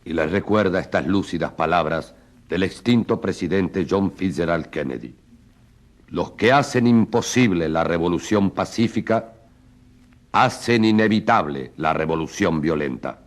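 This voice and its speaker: An actor playing a formal, declamatory judge who presides over a tribunal in a radio drama. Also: male voice, sits low, expressive, a little slow. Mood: grave, declamatory. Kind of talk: radio drama courtroom speech.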